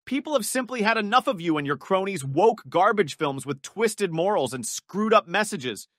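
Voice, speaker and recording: A man delivers scripted commentary in a high, sharp voice. His tone is scornful and sarcastic. The voice-over is close and very dry. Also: expressive, moderate pace, no room sound. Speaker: video commentator.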